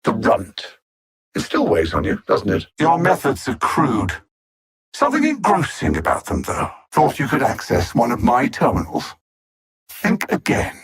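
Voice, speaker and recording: Casting: a hyper-intelligent alien supervillain in a game; husky, animated intonation, articulate contempt and theatrical menace. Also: male voice, medium pitch, measured pace, heavily acted. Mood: condescending taunting. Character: cold, arrogant male alien supervillain conqueror, hyper-intelligent and condescending. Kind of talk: video game villain voice lines.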